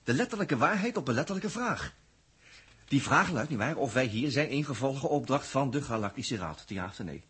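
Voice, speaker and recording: An actor playing a cocky, crafty space agent, breezy and bossy, in a 1960s science-fiction radio drama. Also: male voice, mid-range voice, big pitch swings, normal speed, fairly bright tone, slightly rough, exaggerated voice. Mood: urging, coaxing. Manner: breezy, scornful, coaxing.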